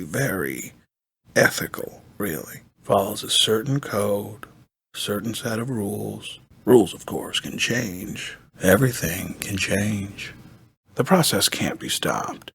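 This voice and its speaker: An actor playing a weary, eccentric, soft-spoken intellectual man in a video game, halting and musing, with a raspy, breathy voice, often repeating himself. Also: dry recording, exaggerated voice. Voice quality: raspy, breathy, gravelly low voice. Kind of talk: video game character dialogue.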